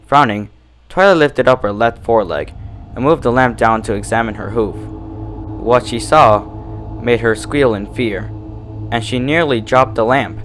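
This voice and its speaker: A man's voice: medium pitch, a bit bright, slight background noise. A man reading a horror fan fiction aloud as its narrator, in a scripted storytelling style.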